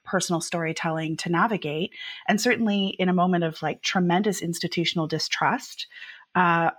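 A woman publisher interviewing a guest, circling her questions with hesitations. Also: lowish voice. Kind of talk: conversational interview.

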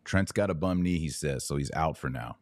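A man's voice: very deep voice, expressive, a little fast, no room sound, studio quality. A man hosting a podcast, giving live commentary and interviewing. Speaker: podcast host.

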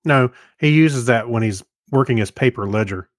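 A man in his fifties chats informally as a podcast co-host, in the loose style of someone swapping memories. His pitch is ordinary for a man, and the recording is dry with almost no echo. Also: moderate pace. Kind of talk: casual chat, reminiscing.

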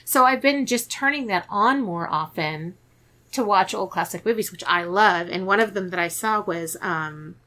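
A woman's voice: mid-range voice, normal speed, sharp tone. A woman hosting a podcast, chatting casually about movies, laughing often and restarting her sentences. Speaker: podcast host. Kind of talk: casual chat about horror films.

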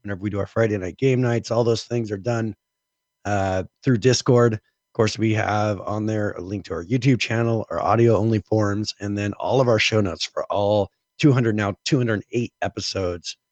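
Man, scratchy voice from a cold, podcast co-host, low pitch, thoughtful explaining, dry sound. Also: normal speed, a little mellow, no echo, highs cut off. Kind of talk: casual podcast chat.

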